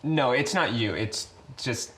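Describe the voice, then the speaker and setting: A man's voice: mid-range voice, lively intonation, unhurried, fairly bright tone. A young man playing an awkward, bookish aspiring writer, stammering nervously and eagerly in a web series. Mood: sheepish. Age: young adult. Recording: echoey room with noisy ambience.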